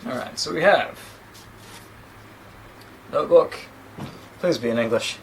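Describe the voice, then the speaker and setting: A man's voice: medium pitch, unhurried, a little hiss or hum, rough recording. A man in his thirties with a New Zealand accent, chatting casually and rambling a little as he unboxes a gadget, recorded by a camera mic a few feet away in a small room. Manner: chatty, rambling, self-deprecating asides. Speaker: tech reviewer. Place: small indoor room against a plain sheet backdrop.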